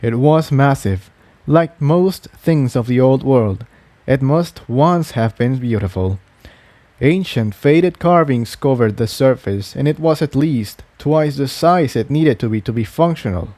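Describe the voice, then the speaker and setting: A man's voice: mid-range voice, animated, a little slow, dark tone, dry recording. A man reading a fantasy adventure story aloud as its narrator, in scripted narration. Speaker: narrator, fan fiction reader.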